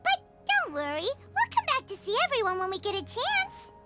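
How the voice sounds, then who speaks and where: Squeaky, swooping tiny fairy companion. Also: female voice, wildly swooping pitch, normal speed, pushed and bright, full character voice. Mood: cheerful. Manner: refers to self in third person, exclamatory. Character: tiny chirpy childlike female fairy companion, cheerful and talkative. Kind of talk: video game voice acting. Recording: background music.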